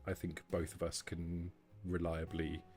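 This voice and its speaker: A man voicing a formal, guarded vampire woman who is quietly plotting to defect, speaking in measured, deliberate phrases. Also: low-pitched, completely flat delivery, soft background music. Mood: cool, conspiratorial.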